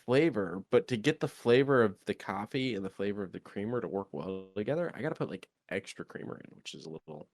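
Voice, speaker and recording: A man's voice: lowish voice, animated, fast talker, few pauses, a little mellow, no room sound, clean studio sound. A sniffly man co-hosting a casual podcast chat, rambling with lots of ums. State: sniffly, congested. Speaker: podcast co-host.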